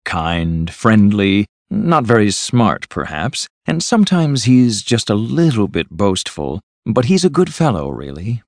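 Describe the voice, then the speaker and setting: A man voicing a sensible, loyal river rat from a storybook in a measured, serious manner. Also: on the low side, very animated, no echo. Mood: fond. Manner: measured, serious, matter-of-fact. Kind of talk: storybook character voice.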